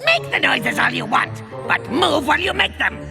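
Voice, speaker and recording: An actor playing a gruff, dim, bossy dog creature in a cartoon, barking blunt orders in a gravelly voice. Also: high voice, sing-song, normal speed, over music, full character voice. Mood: grumbling, defiant. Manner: barking short, blunt commands, easily exasperated. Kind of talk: animated cartoon acting. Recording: long cavernous reverb.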